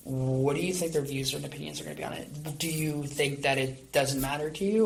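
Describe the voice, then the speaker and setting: A man's voice: mid-range voice, creaky, rough recording. A man hosting a conversational podcast interview, asking long, rambling questions. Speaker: podcast host.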